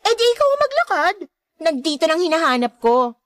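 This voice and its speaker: High-pitched bratty Filipina villainess. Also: female voice, very animated, a little fast, fairly bright tone, full character voice. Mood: bratty, spiteful. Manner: whiny, bratty, haughty. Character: whiny, spiteful young woman villain, clingy girlfriend type. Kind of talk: Filipino radio drama acting.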